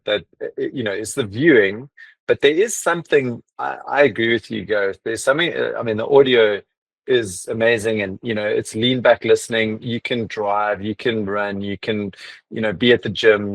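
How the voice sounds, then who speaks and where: A man's voice: fairly low pitch, no room sound. A man interviewing a guest in a casual, chatty way, with frequent hesitations and restarts.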